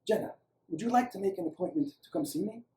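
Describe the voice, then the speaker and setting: A man voicing a gentle, courteous older male doctor with an Eastern European accent in a story, speaking hesitantly. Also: sits high, expressive, fast, voice pushed up. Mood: reassuring. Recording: roomy, echoing, distant microphone.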